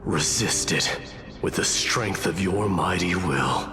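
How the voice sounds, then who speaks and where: An actor playing a stoic, mighty warrior lord in a video game, making stern, weighty declarations in archaic wording. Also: male voice, deep voice, takes it slowly, music in the background. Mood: stern, grave. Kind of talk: dramatic video game acting.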